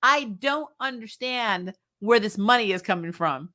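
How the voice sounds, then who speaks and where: Bright-voiced, blunt woman recapping TV. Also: a little high, animated, unhurried. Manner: blunt, sarcastic, freely swearing. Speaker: host of a TV recap show. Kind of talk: casual opinionated livestream review chat.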